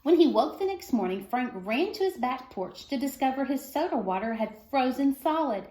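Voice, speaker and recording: Female storyteller for a kids' picture book read-aloud, fairly high voice, expressive phrasing, echoey room. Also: moderate pace. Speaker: reader of a children's book.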